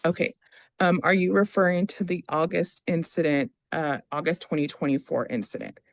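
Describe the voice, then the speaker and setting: Gravelly-voiced attorney in court. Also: medium pitch, steady tone, moderate pace. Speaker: attorney questioning a witness in court. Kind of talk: formal courtroom questioning and reading of requests.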